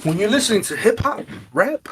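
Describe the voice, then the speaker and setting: A man's voice: high-pitched, big pitch swings, a little fast, fairly bright tone, a little hiss or hum. A man speaking as an upcoming rapper being interviewed, casual and slangy, with some swearing. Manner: slangy, informal street talk with swearing. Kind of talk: casual chat.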